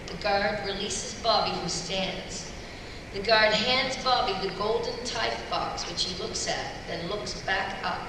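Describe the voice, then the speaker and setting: A woman's voice: mid-range voice, measured pace. A woman reading scripted action directions at a live table read. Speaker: voice actor reading stage directions. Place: large convention hall, live on stage. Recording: echoey hall PA from far off, noisy.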